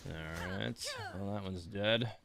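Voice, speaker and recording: Low voiced guy streaming a game, casual, kinda thinking out loud as he plays. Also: slow, creaky, background noise. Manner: hesitant, thinking aloud in broken phrases. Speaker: game livestream host. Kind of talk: casual live gameplay commentary.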